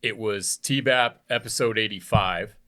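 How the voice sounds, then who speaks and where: A man's voice: fairly low pitch, measured pace, sharp tone. A man talking as a podcast guest in casual chat, laid-back and friendly, calling people "dude" a lot.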